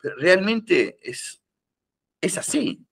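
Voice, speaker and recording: A man's voice: high-pitched, sing-song, takes it slowly, gravelly, a bit bright, slightly rough. An older man with a Rioplatense Spanish accent, a karate teacher who rambles with many fillers and restarts, and teases. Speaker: karate teacher, podcast guest. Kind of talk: casual podcast conversation.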